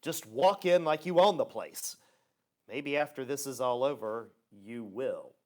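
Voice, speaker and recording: A conspiring, sardonic actor's portrayal of a man of the theater. The mid-range male voice rises and dips expressively, smooth-talking in the style of a radio play. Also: medium pitch, lively intonation, measured pace, a little mellow, close to an everyday voice. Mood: conspiratorial.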